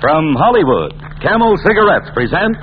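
A man's voice: on the high side, big pitch swings, normal speed, noisy background. A man announcing a 1940s radio programme and its sponsor in a grand, declamatory style. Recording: tinny 1940s radio broadcast with music.

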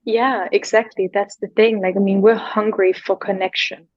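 A woman from Denmark whose first language is Danish, speaking as a guest in an animated, expressive conversation. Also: normal speed. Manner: animated and expressive, pitch rising on key words. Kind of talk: casual conversation. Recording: slightly dull lo-fi sound.

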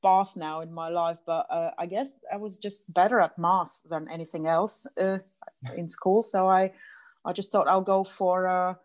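A woman's voice: on the low side, steady tone, moderate pace. A woman in her thirties with a Latvian accent, a guest answering interview questions in a hesitant way with many fillers and restarts. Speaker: guest, association president. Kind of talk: casual interview.

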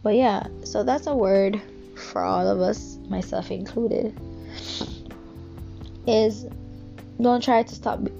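A woman's voice: mid-range voice, creaky. A woman with a Jamaican accent giving an earnest, rambling devotional talk, full of fillers and restarts. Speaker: devotional speaker. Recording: dull lo-fi recording with music behind.

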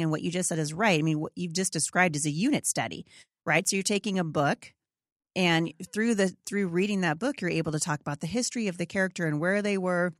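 A woman's voice: low-pitched, no room sound, studio quality. A middle-aged American woman hosting a casual interview, informal and emphatic, often checking in with the listener. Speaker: podcast host, homeschool mom.

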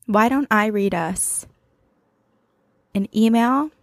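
A woman co-hosting a podcast, chatting casually and reading listener emails aloud in an expressive, conversational way. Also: medium pitch, takes it slowly, vocal fry, no echo. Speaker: podcast co-host.

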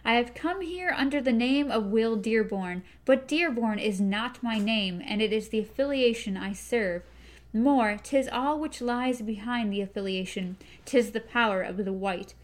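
A stern young gunslinger, cold and threatening, as a woman reading aloud puts him on. He lays down slow, grave warnings in a storybook reading. The voice stays close to the reader's own. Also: on the high side, natural voice.

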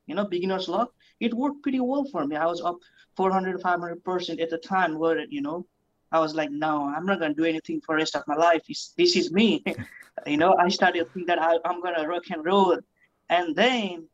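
A man's voice: a little high, fairly bright tone. A man from Nepal telling his story as a podcast guest, talking in a casual, rambling way and often repeating words. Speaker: guest investor. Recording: muffled lo-fi audio.

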